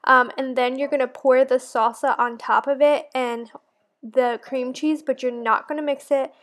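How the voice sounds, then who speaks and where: A woman's voice: high voice, fairly even intonation, moderate pace, a bit bright. A young woman chatting casually to viewers as she talks through family recipes in a voice-over. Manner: chatty, talking straight to viewers with fillers. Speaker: family vlogger.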